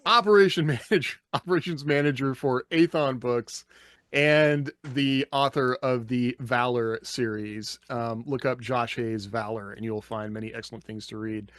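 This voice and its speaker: A man's voice: medium pitch, normal speed, a bit bright, dry recording, clean studio sound. A man hosting a casual panel chat in a playful, joking way. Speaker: podcast host.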